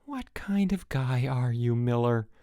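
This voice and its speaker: A man voicing a hot-tempered young male camp counselor who shouts his orders, in a dry, close recording. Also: high-pitched, big pitch swings, moderate pace, no echo, voice pushed up. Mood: stern. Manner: shouting, bellowing.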